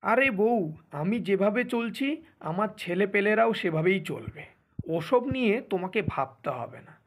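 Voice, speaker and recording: A man's voice: high voice, expressive, moderate pace. A man voicing a boastful, lazy husband from a faded landlord family in a Bengali cartoon, with a pompous, bragging manner.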